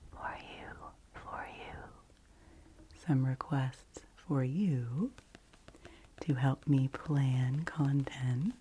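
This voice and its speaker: A woman hosting an ASMR channel, rambling in a deep, low, soft-spoken voice that keeps dropping into whispers, close to the microphone. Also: a little hiss or hum. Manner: alternates soft low speaking with breathy whispers.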